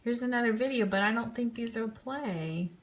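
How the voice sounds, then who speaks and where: A woman's voice: a little high, normal speed. A woman giving casual live commentary on trip photos as host of an online fan show, chatty and enthusiastic.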